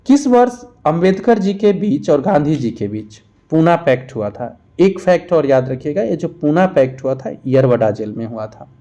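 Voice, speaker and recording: A man's voice: fairly high pitch, very animated, normal speed, hardly any pauses, mellow. A man teaching an exam revision lesson, reading out questions and explaining each answer. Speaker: teacher for competitive exam preparation.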